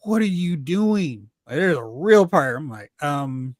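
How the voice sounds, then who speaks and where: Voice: medium pitch, big pitch swings, unhurried, dry recording. Casual talk show host, dry close sound. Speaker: host of a film and TV discussion show. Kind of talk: casual pop culture discussion.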